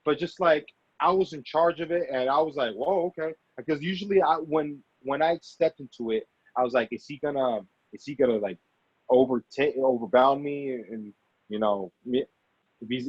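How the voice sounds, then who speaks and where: A man talks casually in an interview, often circling back to repeat and reword his phrases. His voice sits at an average pitch. The recording is close and dry but somewhat dull and lo-fi. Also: breathy edge, no room sound. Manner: halting, repeats and rephrases himself. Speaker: actor and amateur producer, interview guest. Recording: dull, lo-fi audio.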